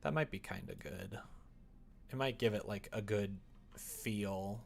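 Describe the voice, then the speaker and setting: A man's voice: on the low side, a little slow, creaky. A man casually livestreaming as he works on a visual novel, rambling and muttering to himself with joking asides. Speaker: live streamer developing a visual novel. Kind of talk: casual livestream chat while working.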